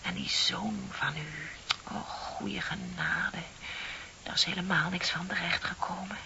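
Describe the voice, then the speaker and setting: An actress playing a bitter, lonely middle-aged office worker in a radio play, confiding and sardonic with dramatic emphasis. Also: female voice, on the low side, fairly even intonation, unhurried, gravelly, bright, forceful tone, exaggerated voice. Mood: confessing, needling. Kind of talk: Dutch radio play acting. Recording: dull, hissy old radio recording.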